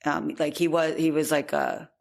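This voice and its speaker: A woman with a low-pitched voice co-hosts a true crime podcast. She recounts the case in a chatty, conversational way, reading out the details as she goes. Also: little pitch movement, moderate pace, creaky. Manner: chatty, laughs easily. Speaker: podcast co-host.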